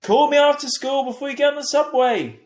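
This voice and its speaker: A man's voice: very high voice, fairly quick, reverberant, voice pushed up. A man voicing a worried, protective mother of a teenager in a storybook reading, fussing and pressing. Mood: annoyed.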